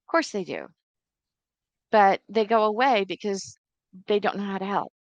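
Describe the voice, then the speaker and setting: A woman's voice: mid-range voice, moderate pace, dry recording, lo-fi sound. A middle-aged woman whose first language is Czech, steadily telling her story as a podcast guest. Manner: earnest, steady storytelling with quoted voices. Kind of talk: interview storytelling.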